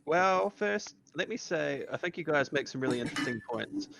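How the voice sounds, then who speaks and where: A man reading the part of a rambling young man wary of sharing a flat with a woman, with a gravelly voice at a casual table read. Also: fairly high pitch, normal speed, noisy background. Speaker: podcast host reading a part.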